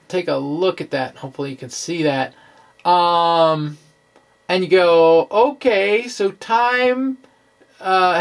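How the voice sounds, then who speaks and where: Male science presenter, fairly high voice, slow and halting delivery, thinks aloud with a self-deprecating touch. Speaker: presenter of a physics video blog. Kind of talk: informal science lecture.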